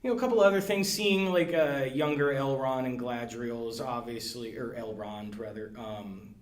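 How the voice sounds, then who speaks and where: A man who is a podcast guest, talking casually and hesitantly, with many pauses and ums. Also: medium pitch. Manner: hesitant, lots of ums and hedging. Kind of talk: casual chat. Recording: echoey room.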